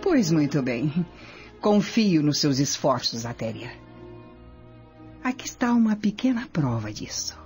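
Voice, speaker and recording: An actress playing a vengeful, scheming Roman noblewoman in a radio drama, speaking imperiously and deliberately. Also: deep voice, sing-song, a little mellow, faint music behind. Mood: commanding, calculating.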